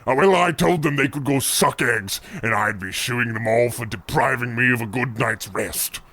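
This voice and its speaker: An old radio-play villain played by a man, a grasping landlord with a middling pitch. He is scheming and heartless, taunting his tenants with theatrical relish. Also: moderate pace, sharp tone, dry recording. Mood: indignant. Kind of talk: parody of old-time radio acting.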